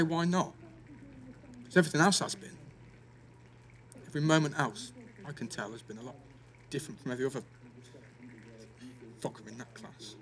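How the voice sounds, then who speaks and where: A man's voice: fairly high pitch, a bit bright. A young man playing a defensive, sweary teenage schoolboy in a naturalistic audio drama, with an East Midlands English accent and a blunt, slangy delivery. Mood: low, vulnerable. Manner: blunt, slangy, full of tag questions.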